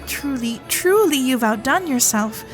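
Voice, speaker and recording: A woman's voice: high-pitched, animated, unhurried, over music. Woman playing a chatty, flustered young society heiress. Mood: gracious. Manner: flustered, hesitant, babbling, genteel and formal. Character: chatty, nervous young Gilded Age heiress, sweetly awkward, romantic. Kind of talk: romantic period audio roleplay, spoken intimately to listener.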